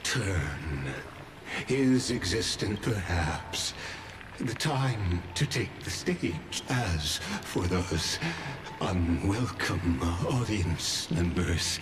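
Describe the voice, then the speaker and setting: An actor playing a cold, erudite male villain in a video game, speaking in a deliberate, formal, ominous way. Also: deep voice, lively intonation, background noise, exaggerated voice. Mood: calm, calculating. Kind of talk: dramatic video game voice acting. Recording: loud music behind. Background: loud game music.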